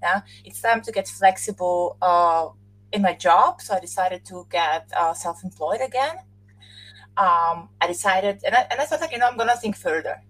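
A woman from Austria with a slightly husky voice talks at a middle pitch. She answers questions in a loose, chatty way. She laughs now and then and often circles back to restart a thought. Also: moderate pace, fairly bright tone, rough recording. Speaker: podcast guest. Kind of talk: casual interview chat.

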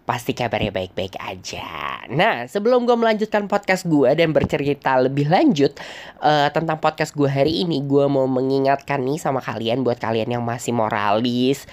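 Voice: medium pitch, lively intonation, moderate pace. A young podcast host, nasal and congested from a cold. The delivery is loose and chatty and wanders from point to point, full of hesitations. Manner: rambling, chatty, many hesitations. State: stuffy nose from a cold. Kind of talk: casual solo storytelling.

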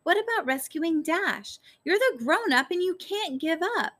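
A woman's voice: extremely high pitch, expressive, a little slow, fairly bright tone, pitched above the performer's own voice, heavily acted. A woman voicing a determined, desperate young girl in an audiobook, insistent and urgent. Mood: urgent pleading.

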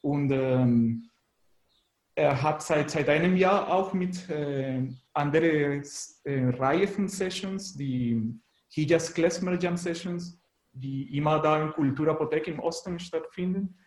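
A man's voice: on the high side, slow pace, darkish tone, echoey room, poor audio. A man from Venezuela with a Venezuelan Spanish accent, answering casually as an interview guest and searching for words in a language that is not his native one. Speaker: interview guest, musician and graphic designer.

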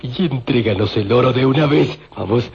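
An actor playing a greedy, boastful hired killer in a radio serial, with an eager, leering, melodramatic manner. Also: male voice, mid-range voice, moderate pace, creaky, a little mellow, a little hiss or hum, natural voice. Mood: impatient, greedy. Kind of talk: Spanish-language radio serial acting. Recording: dull old radio recording.

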